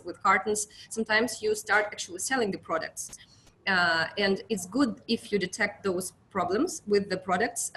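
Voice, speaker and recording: A woman's voice: on the low side, fairly bright tone, slight background noise. A young woman from Russia with a Russian accent, presenting a business webinar as an account manager, speaking hesitantly with many fillers and restarts.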